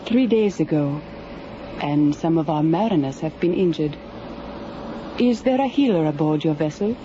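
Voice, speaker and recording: A woman's voice: low voice, expressive, moderate pace, warm tone, slight background noise. A woman playing a gracious, composed alien spacefarer in a radio drama, speaking with formal courtesy. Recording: slightly dull old radio drama sound.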